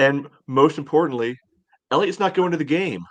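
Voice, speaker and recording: A man's voice: fairly high pitch, moderate pace. A man on a sports podcast panel, giving blunt, slangy opinions in casual banter.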